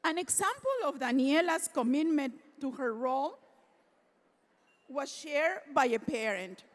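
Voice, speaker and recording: Slow-spoken high-voiced woman presenting an award. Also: sing-song. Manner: reads prepared remarks, grows emotional. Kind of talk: formal award speech at a luncheon. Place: large banquet hall. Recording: hall reverb from a PA system.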